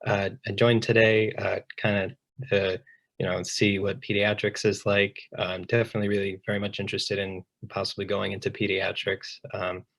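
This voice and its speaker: A man in his twenties, a third-year medical student, introducing himself in a laid-back way with many pauses. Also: lowish voice, little pitch movement, vocal fry, a bit bright. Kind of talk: self-introduction on video call.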